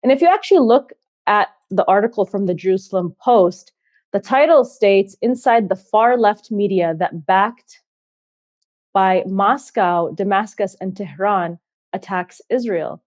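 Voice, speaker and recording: A woman hosting a political interview, speaking quickly and indignantly. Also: medium pitch, a little mellow, dry recording. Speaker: host. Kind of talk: fast political commentary.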